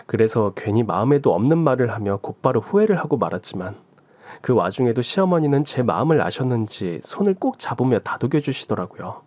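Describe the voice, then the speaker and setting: A man calmly reading a first-person story narration in a reflective tone. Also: mid-range voice, fairly quick, dry recording. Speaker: story reader.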